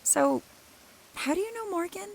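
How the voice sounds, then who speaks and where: A woman's voice: extremely high pitch, expressive, moderate pace, creaky, heavily acted, rough recording. A woman playing a skittish, sardonic young college student in an audio drama, casual and hesitant, often muttering to herself. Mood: wary, puzzled. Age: young adult. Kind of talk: naturalistic audio drama acting.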